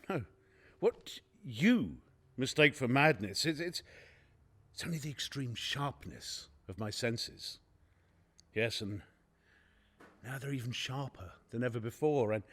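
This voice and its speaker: A man performing as a nervous, obsessive madman in a gothic radio play. He speaks slowly and haltingly, with a stammering edge. His pitch swings dramatically between hushed secrecy and outburst. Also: close to an everyday voice. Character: nervous, unhinged madman confessing a murder obsession. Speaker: narrator actor in a radio play.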